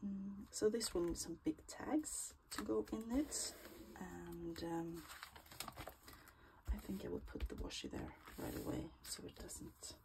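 A woman's voice: medium pitch, unhurried, vocal fry, noisy background. A woman vlogger with a stuffy cold, chatting casually through her crafting in a small home craft room and often murmuring half-whispered asides as she works.

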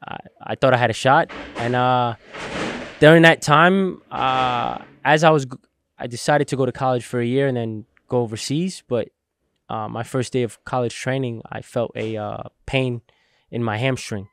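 Young man calmly telling his story. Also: medium pitch, normal speed, dry recording. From New Jersey, United States. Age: 20s. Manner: earnest, steady storytelling with fillers. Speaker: guest, logistics entrepreneur. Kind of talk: interview storytelling. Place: warehouse studio set. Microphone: boom-mounted podcast mic.

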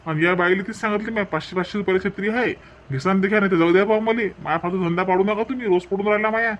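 A man playing a cartoon street peddler, Varhadi accent, high and fast, haggling, muffled lo-fi sound. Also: a bit bright. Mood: defensive. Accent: Varhadi Marathi. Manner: haggling, stubborn. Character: pushy middle-aged male street peddler. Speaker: umbrella seller.

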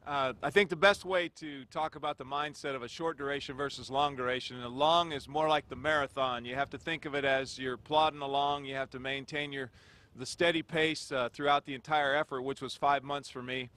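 A man's voice: mid-range voice, fairly even intonation, fairly quick, bright, forceful tone. A middle-aged man from Nebraska, an astronaut, talking in a folksy, plainspoken way outdoors on a handheld mic. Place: outdoors at a launch pad.

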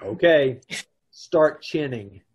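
A man's voice: on the high side, lively intonation, slow, highs cut off, a little hiss or hum. A man acting an exasperated, sarcastic husband who also narrates in an old-time radio comedy, recorded over a video call. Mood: incredulous. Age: middle-aged. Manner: dry, incredulous, blustering. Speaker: amateur radio troupe actor. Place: home room, on a video call.

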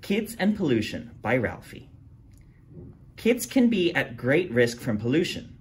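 A man reading a children's picture book aloud, jumping to a higher voice for the characters' lines. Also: unhurried, a little hiss or hum. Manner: animated read-aloud, jumps high for character quotes. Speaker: storytime reader.

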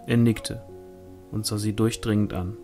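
A man's voice: lowish voice, fairly even intonation, unhurried, dark tone, faint music behind. A man reading a literary novel aloud as scripted audiobook narration. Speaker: audiobook reader.

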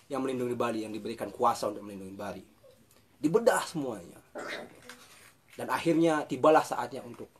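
A young man telling a folk tale casually at home, lively and chatty with frequent restarts. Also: medium pitch, a little hiss or hum. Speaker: storyteller. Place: room with bookshelves, indoors.

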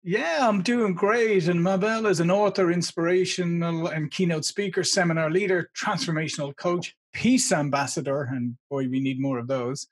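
A middle-aged man hosting a video call interview, speaking briskly and upbeat. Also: fairly high pitch. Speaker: interview host. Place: studio with branded backdrop. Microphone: studio mic seen in front.

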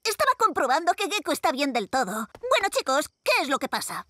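A performer voicing an eager young boy superhero in a cat costume, with a Castilian Spanish accent, earnest and excitable in a children's cartoon dub. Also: sits high, quick pace, no echo, studio quality, exaggerated voice. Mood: defensive. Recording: loud music behind. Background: loud cartoon score.

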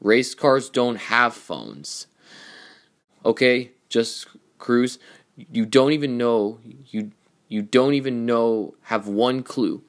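Cartoon race car, acted by a man at an amateur audition: young male, cocky, excitable, emphatic, mid-range pitch. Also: takes it slowly. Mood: frustrated. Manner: theatrical, emphatic.

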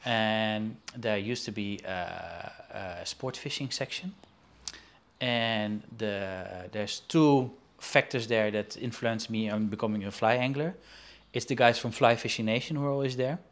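A man in his forties from the Netherlands, talking in a casual interview from a shelf-lined room over a video call, pausing often with fillers and restarts. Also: on the low side. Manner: halting, with frequent fillers and restarts. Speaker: guest artist and angler. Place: indoor studio room with shelves.

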